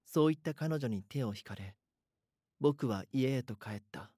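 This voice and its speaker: An actor playing a frightened schoolboy who narrates a horror audio drama in an earnest, dramatic way. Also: male voice, medium pitch, animated, moderate pace, dark tone, dry recording, studio quality, natural voice. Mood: somber, uneasy. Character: frightened schoolboy haunted by his doppelganger. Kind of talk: first-person scripted horror narration.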